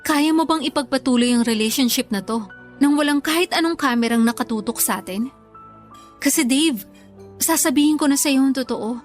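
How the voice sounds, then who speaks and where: Actress as young Filipina girlfriend, hurt and earnest, high voice, radio drama with music underneath. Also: natural voice. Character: hurt, disillusioned young girlfriend, a content creator.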